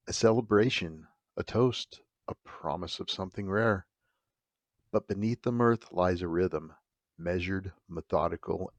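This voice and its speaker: A man with a low voice reads gothic horror as a dramatic audiobook. His delivery is slow, solemn and theatrical, and the sound is dry, with very little room to it. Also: dry recording. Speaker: horror story narrator.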